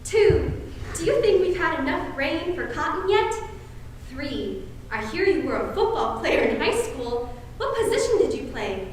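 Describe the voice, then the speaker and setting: Fussy genteel matron aunt, played by a young woman, American, coaxing, animated delivery, heard from far off in an echoey hall. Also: high-pitched. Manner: sugary, mannered, coaxing, flustered exclamations. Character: fussy, genteel Southern matron aunt, cajoling and easily flustered. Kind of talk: high school stage play acting. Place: indoor school stage, filmed performance. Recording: echoey hall, distant camera, faint noise.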